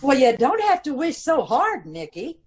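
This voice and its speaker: A witty, teasing wife from an old-time radio detective comedy played by a woman. Her voice is high and her banter arch and knowing. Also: huge pitch swings, moderate pace, a little mellow.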